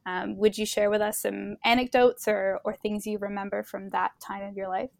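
A woman's voice: mid-range voice, level tone, normal speed, gravelly, no echo. Crackly-voiced woman interviewer, closely recorded. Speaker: podcast interviewer. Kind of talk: prepared, conversational interview questions.

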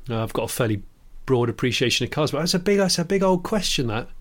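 A man's voice: medium pitch, animated, fast. Man in his forties, mid-range voice, jokey podcast co-host. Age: 40s. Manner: jokey, self-deprecating, trips over words. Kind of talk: casual chat.

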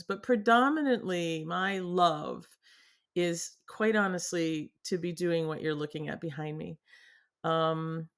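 Lively laughing middle-aged woman. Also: lowish voice. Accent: Boston, mostly lost. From New England. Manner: animated, laughs often, with pauses and restarts. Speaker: guest artist. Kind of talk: casual interview chat. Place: home studio in front of her paintings.

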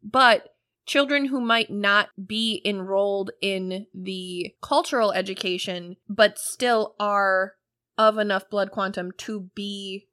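A woman's voice: mid-range voice, fairly even intonation, slow, bright, forceful tone, no room sound, clean studio sound. A woman hosting a casual podcast chat, emphatic and blunt, with the odd swear word. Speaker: podcast host.

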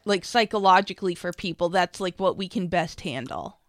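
A woman's voice: lowish voice, moderate pace, a bit bright, no echo. Musing woman co-host, dry sound. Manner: musing, hedging with fillers. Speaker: podcast co-host. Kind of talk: casual chat.

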